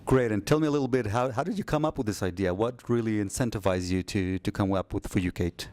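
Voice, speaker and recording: A man interviewing a startup founder, asking brisk, upbeat questions. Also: on the low side, darkish tone.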